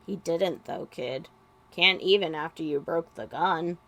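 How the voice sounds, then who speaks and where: A woman's voice: deep voice, unhurried, a bit bright, voice pushed down. A woman voicing a huffy, protective young male former villain in a story reading. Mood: soft, reassuring.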